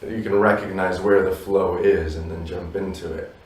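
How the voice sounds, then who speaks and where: A man in his thirties with Polish roots, a musician answering interview questions in a relaxed, reflective way with many "you know"s. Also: low-pitched, moderate pace, a little hiss or hum. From abroad, Polish parents. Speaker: musician guest. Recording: roomy echo from a distance.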